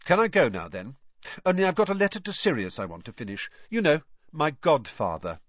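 A man's voice: a little high, big pitch swings, fairly quick, a bit bright, no room sound, lo-fi sound, pitched above the performer's own voice. A man voicing a cheeky, coolly defiant teenage wizard boy in an audiobook, speaking in an offhand, pointedly casual way.

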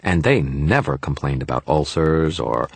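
A man voicing a tiny, childlike male fairy with a high little-kid voice, haughty, greedy and full of indignant complaint in an audiobook story. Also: animated, normal speed, creaky, slightly rough, no room sound, full character voice. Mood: complaining. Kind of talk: audiobook character voice.

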